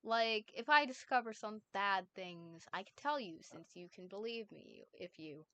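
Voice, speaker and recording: A woman's voice: medium pitch, expressive, unhurried, bright, forceful tone, no echo. A woman voicing an anxious, skeptical teenage boy, rambling and hesitant, in a fan reading. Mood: nervous.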